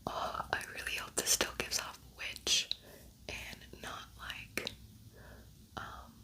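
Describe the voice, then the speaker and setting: Whispering woman, ASMR style. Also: takes it slowly. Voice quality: breathy, unvoiced whisper. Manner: chatty, rambling, thinking aloud. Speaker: ASMR creator and makeup tutorial host. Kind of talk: whispered ASMR makeup tutorial with casual chat.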